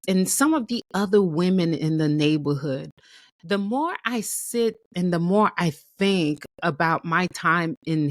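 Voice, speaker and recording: Slow-spoken woman host, dry close sound. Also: sits low, dry recording. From Haiti. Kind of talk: reflective storytelling monologue.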